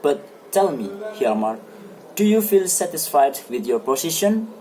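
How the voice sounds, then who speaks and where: Someone acting a grave, slow-spoken brooding man. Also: mid-range voice, sing-song, mellow, slight background noise. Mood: grave, moved. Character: serious, brooding friend questioning his old companion, a man. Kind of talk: amateur dramatic play reading.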